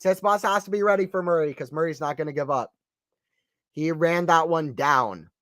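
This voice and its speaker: A man with a slight Southern American accent calls a tennis match live for a stream audience, chatting casually between points. His voice sits high and is recorded dry and close, with little room sound. It rises sharply when a rally heats up. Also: normal speed, fairly bright tone, no room sound. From Atlanta, Georgia, US. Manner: casual, chatty, answers chat questions. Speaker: play-by-play stream commentator. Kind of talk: live play-by-play commentary on a watchalong stream.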